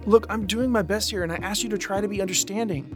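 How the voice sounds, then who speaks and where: An actor playing an earnest young man in a conversational audio drama, explaining himself in a measured way. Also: high voice, fairly even intonation, soft background music, natural voice. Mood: earnest, defensive. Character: earnest young man pleading for patience and trust.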